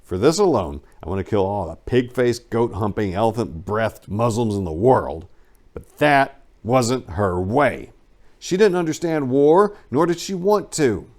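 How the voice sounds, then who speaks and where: A man narrating a first-person memoir as a dramatic reading, with emphatic storytelling and some drawn-out phrases. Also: mid-range voice, very animated, a little mellow. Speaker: narrator reading a book aloud.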